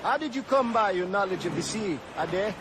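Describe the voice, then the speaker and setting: An actor playing an eager, idealistic Maroon rebel leader, earnest and insistent. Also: male voice, sits high, expressive, normal speed, background noise. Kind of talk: video game cutscene acting.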